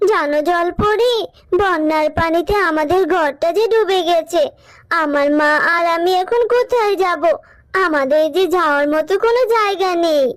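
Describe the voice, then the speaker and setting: A woman voicing a sweet, timid little village girl in a children's cartoon, with a childlike manner. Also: very high-pitched. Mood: frightened, tearful. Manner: childlike, plaintive. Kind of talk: Bengali children's cartoon dub.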